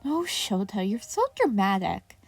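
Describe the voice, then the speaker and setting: A woman's voice: medium pitch, big pitch swings, a little slow, creaky, breathy edge, soft background music, heavily acted. A woman voicing a commanding, exacting veteran male hero teacher in a story reading. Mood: cheerful teasing. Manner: sharp, commanding, seething. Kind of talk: audiobook-style fan fiction character reading.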